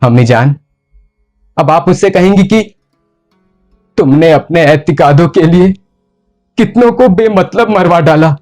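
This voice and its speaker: A male actor playing a ruthless, tormented medieval sultan in a slow, deliberate theatrical monologue with heavy pauses. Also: fairly high pitch, lively intonation, a little mellow, soft background music. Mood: accusing, defiant. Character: brooding, ruthless, tormented medieval sultan confessing his murders. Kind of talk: dramatic theatrical Hindustani monologue.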